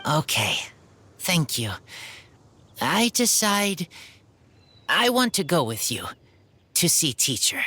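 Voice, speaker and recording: Wolf-raised teen boy, slow halting broken words, low voice that rises and falls, game music behind. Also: very animated, sharp tone, a little hiss or hum, exaggerated voice. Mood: resolved. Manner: halting broken phrases, short simple words. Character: wolf-raised teenage boy, shy and earnest. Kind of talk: game cutscene voice acting.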